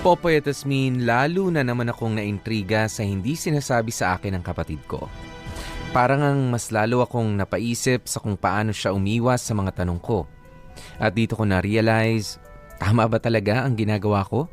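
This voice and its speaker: A man's voice: on the low side, normal speed, soft background music. A man with a Filipino accent narrating a personal letter in first person for a radio drama.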